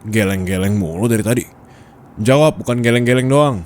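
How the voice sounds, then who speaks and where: A man's voice: mid-range voice, brisk, lightly acted. A man playing a bossy, nagging office boss in an ASMR roleplay, scolding and teasing bluntly in colloquial speech with a Jakarta Indonesian accent. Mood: defensive, nagging. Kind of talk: intimate ASMR roleplay acting. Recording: steady background ambience noise.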